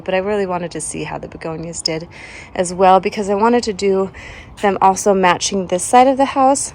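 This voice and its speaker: A woman's voice: mid-range voice, slight background noise. Sleepy-voiced woman touring her garden. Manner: chatty, laughs often, trails off mid-sentence. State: sleepy and recovering from a cold. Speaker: home and garden vlogger. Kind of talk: casual garden tour walk-through. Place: outdoors in a front yard garden.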